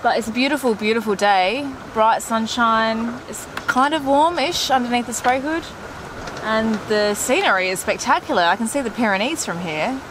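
A woman's voice: on the high side, normal speed. A woman in her thirties hosting a sailing vlog, chatting casually and thinking aloud from the cockpit of a sailboat. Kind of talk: casual chat while sailing. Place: outdoors on a sailboat cockpit at sea. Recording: clear wind noise outdoors.

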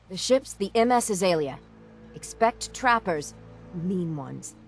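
An actress playing a tough, wry shipwright in a video game, speaking in a terse, dry, halting way. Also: female voice, on the low side, animated, soft background music. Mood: wry, confident. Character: tough, wry female shipwright in a harbor town. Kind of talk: video game character acting.

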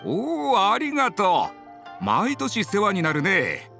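A man's voice: sits high, huge pitch swings, slow, gravelly, pushed and bright, faint music behind, heavily acted. A man playing a genial, hospitable elderly husband in an anime game. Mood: grateful.

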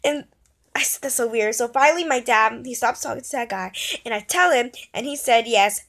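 A young girl, a child or young teen, chatting casually and telling a story in an animated, dramatic way into a phone held at arm's length. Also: on the high side, brisk. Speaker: vlogger. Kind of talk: casual storytime vlog chat.

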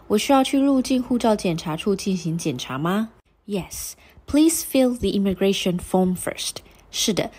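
Slow, low-voiced woman teacher. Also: a little mellow. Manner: reads both sides of short dialogues, then repeats them slowly for learners. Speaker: English teacher. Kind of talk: slow English phrase drills with Mandarin translations.

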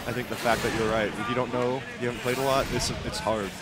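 A man's voice: mid-range voice, fairly even intonation, quick pace. A man doing casual, chatty live commentary on a fighting game as a co-commentator. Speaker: esports co-commentator. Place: convention center esports event. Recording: noisy event hall background.

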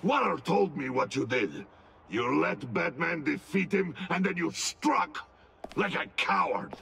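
An actor playing a hulking, vengeful masked villain in a video game, menacing and declamatory. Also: male voice, on the high side, big pitch swings, measured pace, creaky, heavily acted. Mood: vengeful fury. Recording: very loud music behind.

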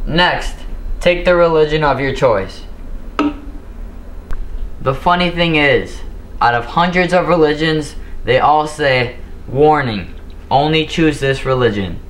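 A man's voice: a little high, fairly bright tone, a little hiss or hum. Teen boy hosting a pretend cooking show. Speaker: host of a mock cooking show. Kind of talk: scripted mock cooking demonstration. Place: home kitchen, indoors. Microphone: camera mic, a few steps away.

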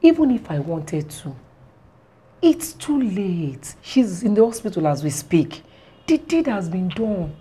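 Mellow, expressive mother. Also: medium pitch, a little slow, slightly rough, exaggerated voice. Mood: defiant. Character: defiant scheming mother of a grown daughter. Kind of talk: screen drama acting.

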